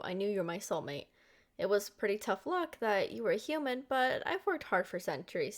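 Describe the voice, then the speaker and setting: A woman voicing a centuries-old, doting and possessive vampire girlfriend in an intimate, coaxing ASMR roleplay. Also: mid-range voice. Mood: teasing, adoring. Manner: coaxing, affectionate, speaking directly to a lover.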